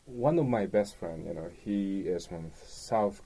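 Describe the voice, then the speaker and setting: A man's voice: low-pitched, expressive, a little slow, warm tone. A man co-hosting a podcast, telling stories casually in informal phrasing full of "you know". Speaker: co-host guest producer.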